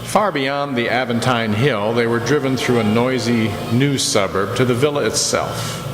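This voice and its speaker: A man narrating a radio drama as a storyteller of a mystery tale, deliberate and suspenseful. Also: medium pitch, close to an everyday voice. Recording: echoey hall with clear background noise.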